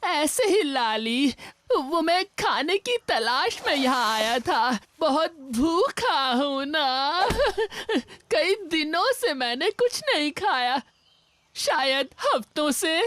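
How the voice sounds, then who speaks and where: Very high-pitched, whiny sly jackal. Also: very animated, slow, fairly bright tone, exaggerated voice. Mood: wheedling. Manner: whiny, wheedling, theatrical. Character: sly, whining jackal, cowardly schemer feigning helplessness. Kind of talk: animated cartoon dubbing.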